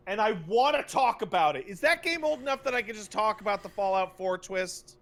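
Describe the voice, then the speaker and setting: A man's voice: sits high, lively intonation, fairly quick, fairly bright tone. A man co-hosting a podcast, ranting casually about video games in an emphatic, exasperated, profane way. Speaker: podcast co-host. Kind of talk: casual animated rant about video game plots.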